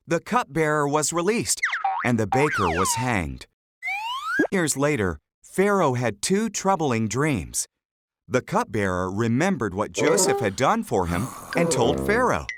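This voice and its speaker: A narrator reads a scripted children's story at a slow, measured pace. The pitch moves in lively swings from phrase to phrase, and loud music plays underneath the whole reading. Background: loud background music.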